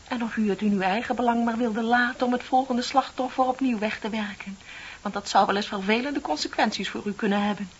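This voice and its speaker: An actress playing a bitter, composed office clerk in her thirties in a radio play, speaking in a deliberate, pointed, theatrical way. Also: a little high, close to an everyday voice. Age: middle-aged. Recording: dull, hissy old radio play recording.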